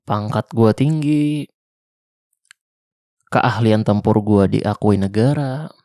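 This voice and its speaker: Guy doing a flirty ex soldier surviving zombies, jakarta slang, slow gravelly talk, dry close mic. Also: lowish voice, dry recording. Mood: gentle teasing. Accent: Jakarta colloquial. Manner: soft, teasing, reassuring. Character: tough male ex-special-forces zombie survivor, protective, flirty. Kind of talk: ASMR roleplay.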